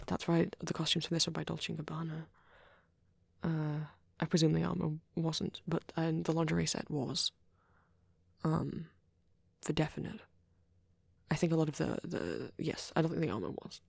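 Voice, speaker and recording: A woman playing a shy, nervous vampire boy in a soft-spoken ASMR roleplay, with a hesitant, rambling, stammering delivery and a husky voice. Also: low voice, fairly even intonation, normal speed, no room sound, studio quality, full character voice. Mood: rambling, absorbed. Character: shy, nervous young male vampire on a date.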